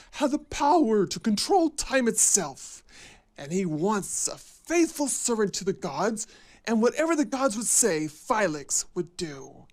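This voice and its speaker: A scheming, pompous game villain with a high voice that swings up and down. He draws his words out slowly and theatrically, gloating like a would-be ruler of the world. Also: exaggerated voice. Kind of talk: fan game cutscene voice acting.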